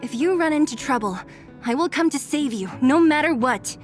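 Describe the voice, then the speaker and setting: A woman voicing a devoted, protective young woman in a fantasy game, in an earnest, gentle manner. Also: sits high, expressive, normal speed, soft background music. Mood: resolute. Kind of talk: anime game voice acting.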